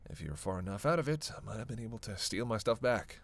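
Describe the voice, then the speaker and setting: Man acting a gravelly, teasing vampire rogue. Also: on the low side, expressive, fast talker, a little hiss or hum. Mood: dry, snarky. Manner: casual, sarcastic, teasing, cursing. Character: teasing, snarky male vampire, playful and self-serving. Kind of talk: roleplay audio drama, one-sided conversation with listener.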